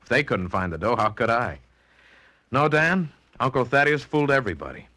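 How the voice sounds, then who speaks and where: Bright, breezy broke heir. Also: male voice, medium pitch, sing-song, fairly quick. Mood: wry resignation. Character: weary, broke heir to a crumbling mansion, affable. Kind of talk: 1940s radio acting.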